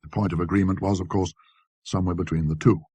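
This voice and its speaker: Deep, dry-recorded man narrating a ghost story. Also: fairly even intonation, quick pace, warm tone, slightly rough, no echo. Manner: precise, scholarly delivery.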